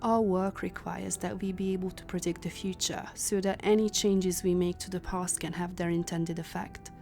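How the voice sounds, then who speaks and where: A composed female leader of time travelers played by a woman in a sci-fi audio drama. Her voice is fairly low and very mellow, with measured, formal authority, over faint music. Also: flat delivery, gravelly. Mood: stern, considering. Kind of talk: cinematic audio roleplay acting.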